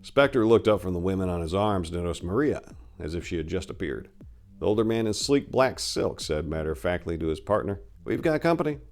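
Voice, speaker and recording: Male storyteller, low pitch, scripted crime fiction narration, dramatic reading. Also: brisk, darkish tone.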